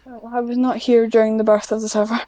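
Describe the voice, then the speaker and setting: A woman's voice: fairly high pitch, level tone, brisk, dark tone, no echo, highs cut off. A woman chatting casually as an interview guest, informal and self-deprecating. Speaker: podcast guest, amateur script writer.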